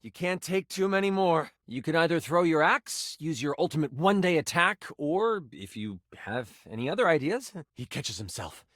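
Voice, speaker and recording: A theatrical game master tells a fantasy battle as playful, expressive storytelling. His voice sits fairly high for a man and moves widely in pitch, recorded close and dry. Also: moderate pace, hardly any pauses, no room sound. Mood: suspenseful. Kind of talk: dramatic tabletop game narration in second person.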